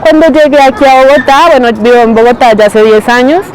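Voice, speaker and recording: A young woman recalling childhood experiences in a soft, reflective way. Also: sits high, a little fast, faint music behind, rough recording. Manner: soft, reflective, mimics schoolmates. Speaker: interviewee. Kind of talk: personal testimony.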